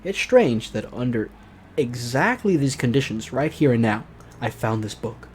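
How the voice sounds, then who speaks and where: A man's voice: mid-range voice, expressive, moderate pace, slight background noise. A man hosting a let's play, reading a visual novel aloud theatrically and breaking off into mocking, exclaimed asides. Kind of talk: narrated game let's play with joking asides.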